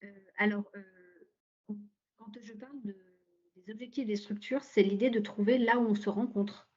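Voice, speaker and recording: A woman explaining policy in a webinar, haltingly searching for her words. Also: medium pitch, steady tone, a little mellow. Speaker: local government cultural policy official, panel speaker. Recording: dull, lo-fi online call audio.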